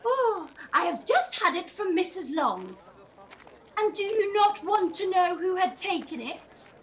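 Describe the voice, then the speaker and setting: A very high-pitched, fluttery middle-aged mother from a period drama. She is fretful and overwrought, exclaiming and drawing out her words at a slow pace. It is heard over a video call with faint noise. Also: very animated, full character voice. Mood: gleeful. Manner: overwrought, exclaiming, drawn-out delivery. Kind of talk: theatrical period-drama live read.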